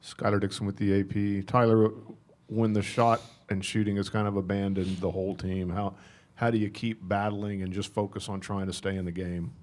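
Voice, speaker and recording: Low, flat male reporter. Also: normal speed, hardly any pauses. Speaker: news agency reporter. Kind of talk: press conference question.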